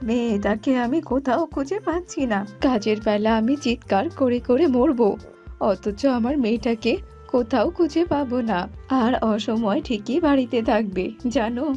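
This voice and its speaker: A woman's voice: fairly high pitch, moderate pace, warm tone, muffled recording, soft background music, lightly acted. A woman voicing an exasperated cartoon mother bird, nagging and full of strict threats.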